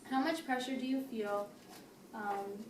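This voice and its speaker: A woman playing a patient, firm family therapist, speaking in a measured, formal way in an amateur role-play. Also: fairly high pitch, close to an everyday voice. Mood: mediating, patient. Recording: noisy echoey room, distant mic.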